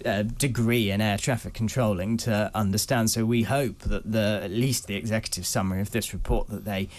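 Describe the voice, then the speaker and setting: British man, news correspondent guest, lowish register, earnest and articulate, explanatory delivery. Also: steady tone, fairly quick.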